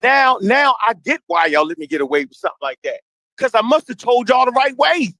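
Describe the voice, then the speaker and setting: This is an older man with a strikingly high voice that rises and falls all over the place. He tells profane stories with big energy and acts out the quotes himself. The sound is dry and close, with no room around him. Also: brisk, dry recording. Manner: animated, profane storytelling with acted-out quotes. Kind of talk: heated group conversation.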